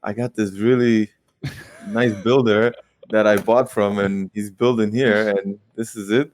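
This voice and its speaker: Late-20s male guest, low voice, dry close mic, animated storyteller. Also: no room sound. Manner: animated storytelling, quick rueful asides. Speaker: guest real estate investor. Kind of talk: casual conversational interview.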